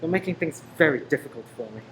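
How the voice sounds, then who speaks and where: A man's voice: on the high side, animated, fast, fairly bright tone, roomy sound. Male actor as earnest undercover detective, pleading and torn, radio drama, faint background noise. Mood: earnest, conflicted. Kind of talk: amateur radio play acting.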